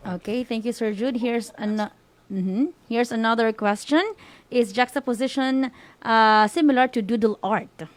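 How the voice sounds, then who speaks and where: A woman with a somewhat high voice co-hosts a learning program. She reads out comments and questions from participants. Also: a little slow, dry recording. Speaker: co-host reading audience feedback. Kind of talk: moderating, reading out comments and questions.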